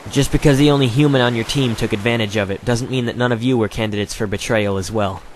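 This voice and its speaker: A man's voice: mid-range voice, fast talker, a little hiss or hum, natural voice. A man playing a cocky, heroic young hedgehog adventurer in a fan audio drama. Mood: stern, defiant.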